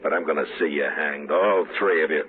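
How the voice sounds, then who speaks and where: An actor playing a grim, unyielding Old West marshal with terse, stern delivery in a 1950s radio western. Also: male voice, a bass voice, fairly quick. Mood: cold resolve. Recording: noisy, narrow-band old radio recording.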